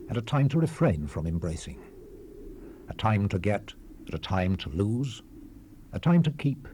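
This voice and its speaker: A deep, weary, solemn biblical preacher. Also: male voice, darkish tone. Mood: solemn, rhythmic. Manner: solemn, measured, declamatory, with falling phrase endings. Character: weary, brooding old-world preacher reflecting on life's vanity. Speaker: scripture narrator. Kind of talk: dramatized Bible reading, solemn and theatrical. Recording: hissy 1970s cassette rip.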